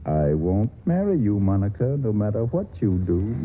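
A man's voice: fairly low pitch, sing-song, moderate pace. An actor playing a worldly, cynical male writer with a dry, mocking, patronizing manner, in 1940s radio acting style. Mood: wry. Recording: muffled old radio broadcast, faint hiss.

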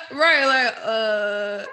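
A chatty woman. Her voice sits a bit high, and she laughs easily as she talks. She keeps the interview loose and casual. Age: 20s. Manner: laughs often, chatty, uses fillers. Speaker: podcast host.

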